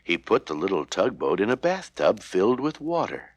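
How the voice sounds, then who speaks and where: A man's voice: lowish voice, very animated, normal speed, dry recording. A man narrating a children's story from a script, with music playing behind him.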